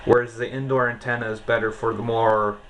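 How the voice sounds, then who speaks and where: A man's voice: mid-range voice, little pitch movement, brisk, fairly bright tone. An American man casually giving a hands-on demonstration as a radio hobbyist, in an indoor setting. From United States. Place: indoors beside a shortwave receiver. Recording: clearly noisy, shortwave radio hiss.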